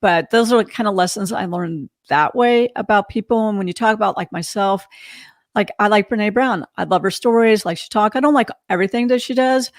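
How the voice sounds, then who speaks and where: Casting: female podcast host, frank and emphatic in casual reflective talk, noticeable vocal fry, dry recording. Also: medium pitch, brisk, no echo.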